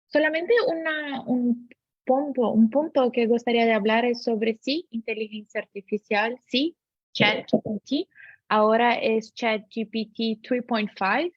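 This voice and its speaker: Female panelist, Portuguese native, very slow and halting, on dull dry lo-fi remote audio. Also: fairly high pitch, no room sound. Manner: slow, halting, mixing in English words. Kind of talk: panel discussion remarks.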